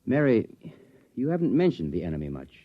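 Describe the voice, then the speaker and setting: An actor playing an anxious, cautious middle-aged scientist father in an old radio drama, speaking in a measured, paternal, reproving way. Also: on the low side, huge pitch swings. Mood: gently concerned. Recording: dull, lo-fi old radio recording.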